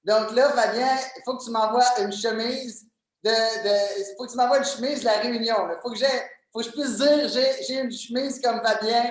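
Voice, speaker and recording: A man's voice: extremely high pitch, fairly even intonation, normal speed. A young man in his twenties with a Québécois French accent, chatting as an interview guest, enthusiastic and joking, with many fillers and repeated words. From Quebec, Canada. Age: 20s. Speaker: guest, MLM coach. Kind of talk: casual interview chat. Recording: very echoey room.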